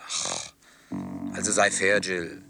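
An actor dubbing a man who tells a long story in a laid-back way with gruff humor. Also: low-pitched, very animated, takes it slowly, bright, forceful tone, a little hiss or hum, exaggerated voice. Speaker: lead character in a dubbed film. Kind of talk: dubbed film acting.

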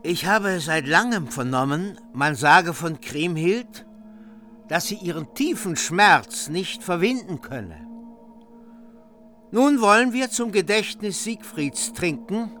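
An actor playing a grim, defiant warrior in a radio drama, speaking in a measured, menacing way. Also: male voice, sits high, animated, bright, forceful tone, soft background music, full character voice. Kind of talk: radio play acting.

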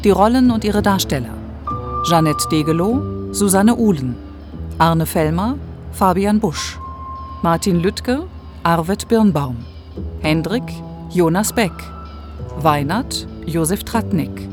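A woman's voice: on the low side, animated, slow pace, pauses often. A woman announcer reading formal scripted credits for a radio drama. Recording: clear music under the voice.

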